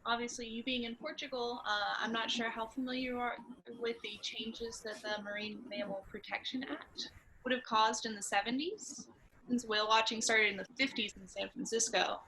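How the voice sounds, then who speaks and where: A woman joining a webinar over a video call to ask a question, relaxed and filling her pauses with "um". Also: on the high side. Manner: relaxed, uses many fillers. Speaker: webinar participant. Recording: very noisy video call line.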